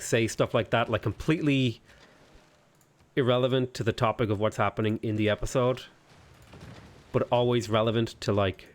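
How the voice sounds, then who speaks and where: A man in his twenties on a game livestream, casually chatting and joking while he reads viewer comments aloud. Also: medium pitch. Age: 20s. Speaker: streamer.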